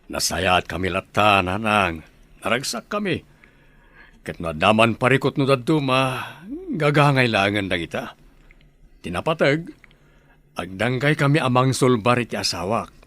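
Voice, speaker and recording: A man's voice: mid-range voice, animated, a little slow, fairly bright tone, no echo. A man playing a kindly, earnest family man in an Ilocano radio drama, with an Ilocano accent. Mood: caring. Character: kindly family man, devoted son and father.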